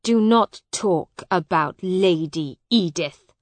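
A stern, cold-hearted brother from an audiobook , acted by a woman. He is curt and commanding, with very slow, deliberate words in a dry recording. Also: lowish voice, no room sound. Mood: angry. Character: cold, stern, hostile brother disowning his sibling. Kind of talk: graded audiobook character dialogue.

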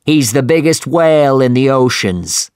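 A man voicing an obsessed, raging one-legged whaling captain who booms his lines slowly and loudly, read from a storybook. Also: animated, clear, resonant tone, no room sound, clean studio sound, lightly acted. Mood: commanding. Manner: loud booming declarations, drawn-out words.

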